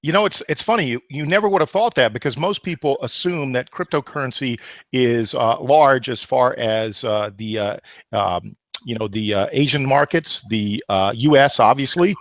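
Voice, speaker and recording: A man's voice: medium pitch, normal speed, no echo, lo-fi sound. A man hosting a weekly webinar call, asking questions in a jokey, hyped-up way and calling his guest "my man.". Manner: jokey, hype-driven, calls the guest "my man". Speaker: webinar host, interviewer. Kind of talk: webinar interview.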